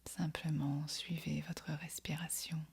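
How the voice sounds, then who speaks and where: A woman leads a guided meditation in a very breathy, husky near-whisper. Her voice is low and stays on an even, gentle line. She gives each instruction softly, then leaves room before the next. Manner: soft, soothing, unhurried instruction with long pauses. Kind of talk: guided yoga nidra meditation.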